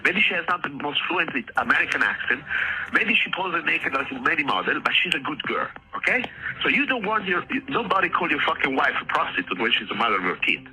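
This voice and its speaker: Sharp, animated man, muffled. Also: a little high, brisk. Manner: blunt, swearing, emphatic. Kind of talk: heated, informal talk. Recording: muffled played clip with music behind. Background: music.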